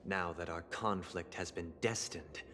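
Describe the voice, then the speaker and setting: A man's voice: deep voice, measured pace, noisy background. A man playing a composed, grandiose villain in a video game, speaking in formal, theatrical oratory. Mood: solemn conviction. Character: grandiose, composed zealot villain, man preaching an ordered paradise. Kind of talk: video game cutscene acting. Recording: orchestral music behind the voice.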